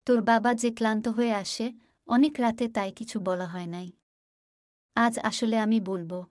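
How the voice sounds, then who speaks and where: A woman playing a worried, exasperated poor village mother in a cartoon, plaintive and quick to scold. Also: fairly high pitch, steady tone, darkish tone, dry recording, clean studio sound, close to an everyday voice. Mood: weary, reluctant.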